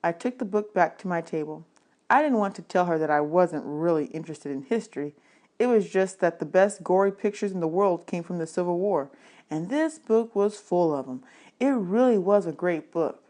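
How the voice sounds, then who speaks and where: A woman's voice: lowish voice, expressive, moderate pace, warm tone. A woman reading a children's novel aloud as audiobook narration, in the manner of a teacher.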